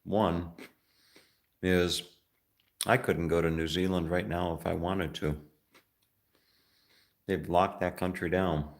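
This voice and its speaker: A middle-aged man from the United States talking casually as a travel vlogger, rambling and halting with many fillers and restarts. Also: low-pitched, steady tone. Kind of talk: casual vlog talk.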